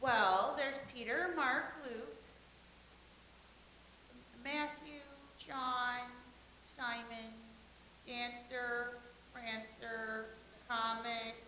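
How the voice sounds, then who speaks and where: A woman in a comedic staged reading playing an eager, defensive believer who is quick to argue. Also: fairly high pitch, fairly even intonation, sharp tone, heavily acted. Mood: flustered, defensive. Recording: hissy, roomy recording with noise.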